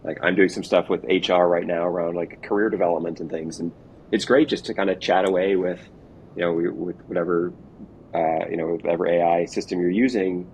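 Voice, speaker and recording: A man's voice: fairly even intonation, a little fast, darkish tone. A man being interviewed as a business executive, explaining casually and informally in a conversational, loosely hedged way. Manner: informal, hedging with "kind of" and "like". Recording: noisy with low background rumble.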